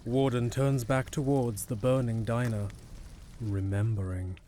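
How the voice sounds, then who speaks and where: A man's voice: medium pitch, fairly even intonation, measured pace, noisy background, close to an everyday voice. A man reading dramatic scripted narration for an audio drama as its narrator.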